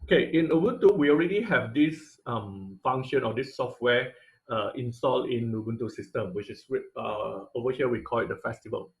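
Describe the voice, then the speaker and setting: A man's voice: medium pitch, animated, moderate pace. A man teaching an online robotics class, explaining step by step with many "uh"s and "right?" checks. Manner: explanatory, frequent "uh" and "right?" tag questions. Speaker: robotics instructor. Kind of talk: online class lecture over slides.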